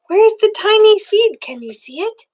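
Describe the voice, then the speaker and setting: A woman's voice: extremely high pitch, moderate pace. An older woman reading a children's picture book aloud as a grandmother, expressively, with questions in tones meant for a small child. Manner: expressive, asking the young listener simple questions in child-directed tones. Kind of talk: children's storybook read-aloud.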